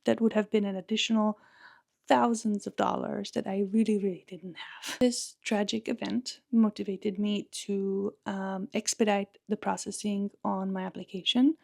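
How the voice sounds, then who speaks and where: A woman in her thirties from the Czech Republic with a Czech accent, earnestly telling her own story as a podcast host, soft-spoken with wry asides. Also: medium pitch, level tone, normal speed, creaky, dry recording, clean studio sound. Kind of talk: personal monologue.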